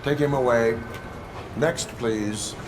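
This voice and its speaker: An actor playing a stoic cyborg police officer in a video game, speaking in a clipped, formal, robotic way. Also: male voice, mid-range voice, slow, background noise, close to an everyday voice. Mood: stoic, dutiful.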